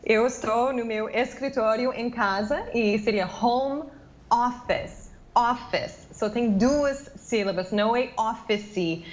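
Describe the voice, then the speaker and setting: An American woman with a fairly high voice is interviewed over a video call from her home office. Her sound is dull and lo-fi. She speaks chattily, filling pauses with "ah" and restarting words. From United States. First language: English. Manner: chatty, many "ah" fillers and restarts. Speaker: interviewee, English teacher. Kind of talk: remote news interview.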